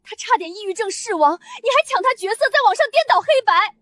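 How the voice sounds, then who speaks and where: Woman acting indignant young woman, very high voice, animated, defensive, audio drama. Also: fast talker, full character voice. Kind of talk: dubbed audio drama dialogue.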